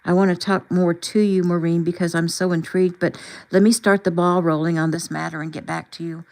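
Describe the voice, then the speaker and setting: A woman's voice: fairly low pitch, level tone, fairly quick, darkish tone, lightly acted. A woman voicing an earnest, devout woman doctor with an effusive, encouraging way of talking in an audiobook-style story. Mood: businesslike.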